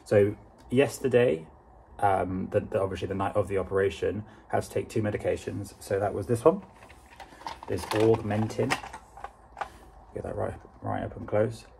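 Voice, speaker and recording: A young man with a British accent talking casually to camera in a halting, understated way, with a husky, gravelly voice. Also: lowish voice, fairly even intonation, warm tone, slight background noise. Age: young adult. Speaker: vlogger. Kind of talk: casual vlog talk to camera.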